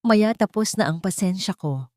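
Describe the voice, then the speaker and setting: A woman's voice: medium pitch, expressive, moderate pace, a little mellow, no echo, studio quality, lightly acted. A woman voicing a cruel, scheming aunt in an audio story, harsh and threatening. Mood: cold, menacing. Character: cruel, scheming aunt demanding debt payment.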